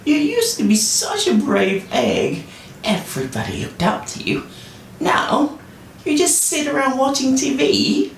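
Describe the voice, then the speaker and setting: Woman voicing an exasperated, animated storybook dish. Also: sits high, a little slow, pitched above the performer's own voice, full character voice. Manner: chiding, emphatic. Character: exasperated, scolding dish from a nursery rhyme. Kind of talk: children's bedtime storybook reading. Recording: roomy, distant, faint noise.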